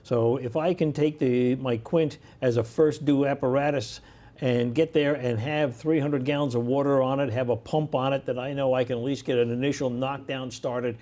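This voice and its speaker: A man's voice: mid-range voice, steady tone, moderate pace, warm tone. A man speaking as a firefighter guest in an interview, explaining in a conversational way.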